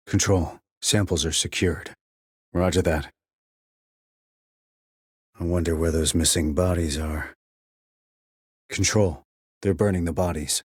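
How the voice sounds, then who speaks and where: An actor playing a terse, cool-headed sniper operative in a video game, with clipped, controlled military delivery and a low husky voice. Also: male voice, dry recording, studio quality, exaggerated voice. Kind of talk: military radio chatter, game dialogue.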